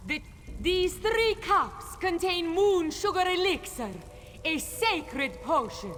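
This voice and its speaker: A woman voicing a solemn cat-folk priestess leading sacred rites in a fantasy video game, with measured ceremonial delivery. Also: very high-pitched, expressive, a bit bright, slight background noise, full character voice. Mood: ceremonial proclaiming.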